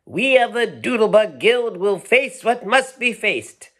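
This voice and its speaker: A man's voice: sits high, sing-song, unhurried, fairly bright tone, voice pushed up, full character voice. A man voicing a brave, proud doodlebug leader in a children's storybook, proclaiming heroically. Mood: resolute, proclaiming. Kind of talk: children's storybook read-aloud.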